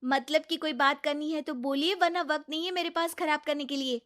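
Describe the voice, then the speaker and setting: A woman's voice: high voice, fairly even intonation, brisk, a bit bright, voice pushed up. A woman voicing a young lawyer daughter-in-law in a Hindi cartoon story, earnest, protesting and exasperated.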